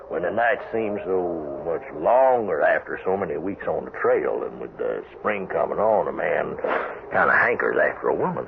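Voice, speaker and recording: An actor playing a cocky, leering cowhand bully in an old radio western, with a creaky drawl and taunting folksy manner. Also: male voice. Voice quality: creaky, low. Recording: muffled, noisy old radio broadcast.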